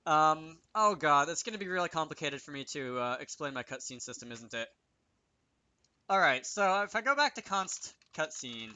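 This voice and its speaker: A man with a very bright, crisp voice talks through a programming tutorial off the cuff. He thinks aloud haltingly, pausing to work things out, and the sound is close and dry. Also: on the high side, no room sound. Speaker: game developer presenting a tutorial series. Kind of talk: unscripted screen-recorded programming tutorial.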